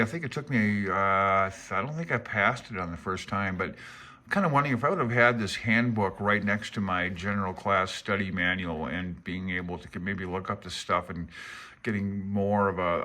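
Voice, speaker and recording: A man's voice: on the low side, moderate pace, pushed and bright. A man in his sixties giving casual, rambling commentary as he pages through a book, laid-back and reminiscing as he thinks aloud. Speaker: amateur radio hobbyist presenting a handbook.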